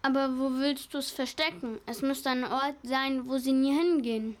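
A woman's voice: high voice, fairly even intonation, moderate pace, natural voice. A female performer playing a cheeky, eager young child with a blunt, know-it-all manner in a children's radio play. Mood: cheeky, plotting.

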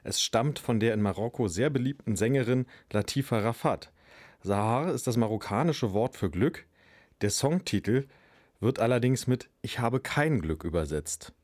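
A man presenting a school radio segment, introducing a song and the next part with a slightly halting delivery. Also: mid-range voice, expressive, a little mellow, no room sound, studio quality.

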